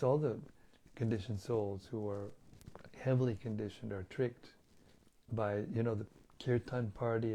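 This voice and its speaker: A hoarse man hosts a daily scripture reading over an online stream, relaxed and chatty. His voice is dark and gravelly with fry, and it is plainly wearing out. He speaks at a middling pitch. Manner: relaxed, chatty, with light chuckles. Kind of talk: casual talk and Q&A on scripture.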